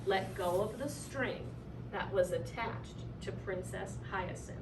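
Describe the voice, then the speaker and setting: A woman reading a children's story aloud in an expressive, animated way, drawing out key words for effect. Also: medium pitch, slow, music in the background. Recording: roomy, distant mic, clearly noisy.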